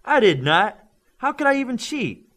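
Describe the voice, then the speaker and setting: A man reading an audiobook, voicing a boastful, competitive young man who talks loudly. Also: extremely high pitch, very animated, moderate pace, voice pushed up, heavily acted. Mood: cocky. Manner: loud, boastful.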